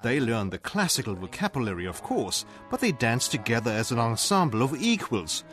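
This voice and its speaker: A man's voice: medium pitch, sing-song, normal speed, fairly bright tone, faint music behind. A man, a company manager, explaining his program in an interview-style voice-over.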